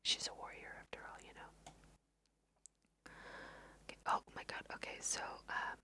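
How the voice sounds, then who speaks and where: A woman whispering chatty ASMR game commentary, thinking aloud in a breathy, self-amused way into a close microphone. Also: normal speed, pushed and bright. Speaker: host of a gaming let's play.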